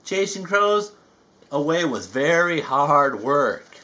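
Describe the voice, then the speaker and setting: Bright folksy male storyteller. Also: fairly high pitch, big pitch swings, slow pace, slight background noise. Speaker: storybook reader. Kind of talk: children's read-aloud narration with asides.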